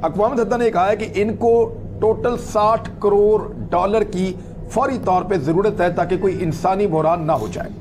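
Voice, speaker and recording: A man's voice: high voice, noisy background. A man gives conversational news commentary as a host, speaking emphatically with repeated phrases and rhetorical questions.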